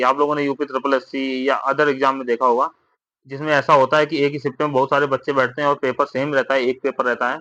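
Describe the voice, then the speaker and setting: Bright, forceful man, lo-fi sound. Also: fairly high pitch, dry recording. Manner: repetitive, with frequent tag questions seeking agreement. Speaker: exam update vlogger. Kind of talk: informal explainer addressed to exam candidates.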